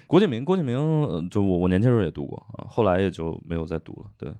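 A man hosting a podcast in relaxed, agreeable conversation, filling gaps with quick affirmations. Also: deep voice. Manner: easygoing, agreeable, many affirming fillers. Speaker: podcast host. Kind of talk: casual podcast chat.

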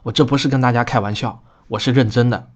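A man speaking as a podcast host, explaining in an earnest, deliberate monologue. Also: medium pitch, steady tone. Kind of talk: spoken introduction, explanatory monologue.

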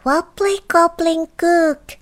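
A woman's voice: steady tone, slow. A woman voicing a cheeky little storybook goblin with a small, teasing, singsong voice. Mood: playful. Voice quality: small, high-pitched voice.

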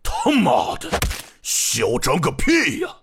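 A man voicing an angry, thuggish beast-monster disciple with a rough, menacing delivery in an audio drama. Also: on the high side, wildly swooping pitch, takes it slowly, fairly bright tone, slight background noise, exaggerated voice. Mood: furious gloating. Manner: menacing, swearing, contemptuous. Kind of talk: dramatized audio novel acting.

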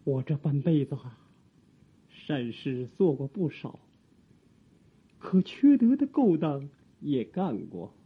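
An old servile eunuch in an audio drama, super husky, drags words out, sighs, muffled sound. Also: female voice, sits low, sing-song, heavily acted. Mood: remorseful, weary. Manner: sighing, halting, theatrical delivery. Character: aging palace eunuch, servile, guilt-ridden, fearful. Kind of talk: Chinese audio drama acting.

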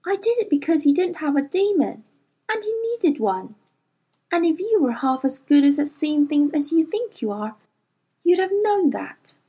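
A woman's voice: high-pitched, big pitch swings, normal speed, dark tone, voice pushed up. A woman reading an audiobook, voicing a cautious, teasing male animal companion that changes shape. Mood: reproachful.

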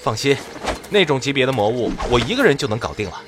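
A man playing a gentle, earnest young priest adventurer in a fantasy audio drama, polite and a little hesitant. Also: mid-range voice, sing-song, fast talker, over music, lightly acted. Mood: reassuring.

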